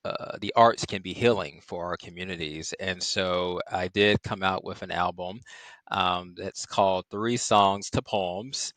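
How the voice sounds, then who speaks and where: A middle-aged man from Chicago, a psychiatrist guest on a podcast, speaking in a measured way with many "um" fillers. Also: sits low, steady tone, a bit bright, dry recording. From Chicago, Illinois. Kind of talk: podcast interview.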